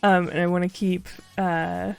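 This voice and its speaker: A young woman hosting a game stream, talking loudly with friends in a chatty, laugh-filled way. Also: fairly low pitch, level tone, unhurried, creaky, clear, resonant tone, soft background music. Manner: loud, laughs a lot, chatty. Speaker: streamer host.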